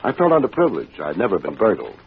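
An American actor playing a wry, unflappable private detective in a 1950s radio drama, deadpan and wisecracking. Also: male voice, low voice, fast talker, heavily acted. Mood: wry, brooding. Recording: dull old radio recording, music behind.

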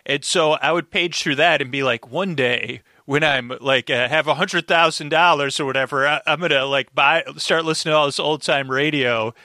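A man chatting casually as a podcast guest, telling things in a halting, rambling way and circling back mid-sentence. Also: fairly high pitch, bright, forceful tone, dry recording, clean studio sound. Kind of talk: casual interview chat, storytelling.

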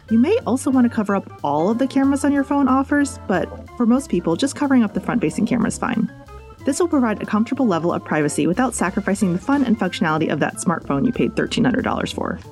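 A woman's voice: medium pitch, a little mellow. A woman reading scripted podcast tips in a wry, jokey manner. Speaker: podcast host. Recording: faint music bed behind.

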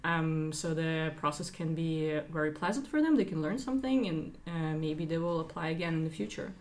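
A woman's voice: deep voice, animated, a little fast, darkish tone. A woman from Ukraine, a recruiting team lead answering interview questions earnestly, with some fillers.

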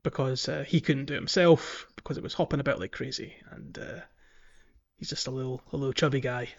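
A man's voice: medium pitch, fairly even intonation, a little fast, creaky, lo-fi sound. A man hosting a gaming podcast in casual conversation, explaining things in a hesitant, rambling way. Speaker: podcast host.

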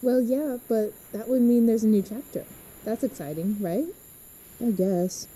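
A young woman playing a reassuring, upbeat teenage girl in an audio drama, with a husky voice. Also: a little high, steady tone, measured pace, mellow, poor audio.

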